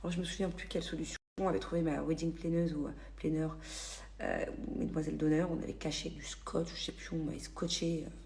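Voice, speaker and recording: A woman's voice: deep voice, flat delivery, quick pace, creaky, darkish tone. A woman from the Paris region casually telling stories to her followers on a phone, with many fillers and restarts. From Paris region, France. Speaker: vlogger.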